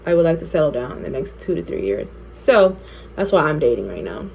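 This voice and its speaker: Chatty young woman with low voice. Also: big pitch swings, gravelly, darkish tone, a little hiss or hum. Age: 20s. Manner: chatty, candid, laughs often, quick asides. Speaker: beauty vlogger. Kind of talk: storytime while doing makeup.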